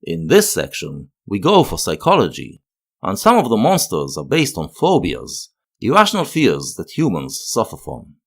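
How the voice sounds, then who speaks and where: A man with a fairly low voice narrates a scripted video essay. His pitch swings widely as he explains each point in a measured, literary way, like a critic laying out an argument. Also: wildly swooping pitch, vocal fry, a bit bright.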